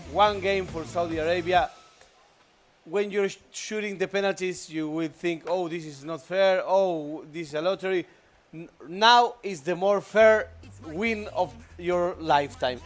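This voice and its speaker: A man from Argentina with an Argentine Spanish accent, co-commentating live esports in halting, enthusiastic English. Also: high voice.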